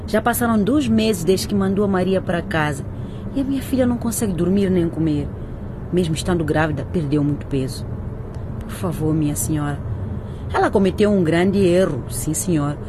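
A woman's voice: fairly low pitch, moderate pace, close to an everyday voice. A woman playing a humble, anxious mother in a radio drama, pleading hesitantly and with deference for her daughter. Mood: tearful pleading. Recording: clear ambient vehicle noise behind.

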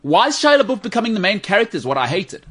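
A man with a South African accent hosting a talk show, giving opinions in casual, slangy, animated banter. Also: high-pitched, rapid-fire. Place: studio.

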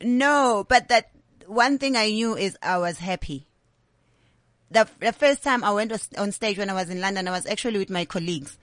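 A woman's voice: medium pitch, normal speed, dry recording. South African woman telling candid stories. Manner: candid, animated storytelling mixing English with Zulu. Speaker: comedian guest. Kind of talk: interview conversation.

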